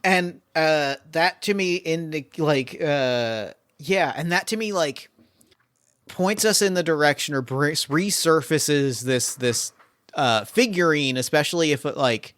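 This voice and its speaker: A man's voice: a little high, a little slow, a bit bright. A man running a tabletop game as host, chatting casually and thinking aloud with lots of fillers and restarts.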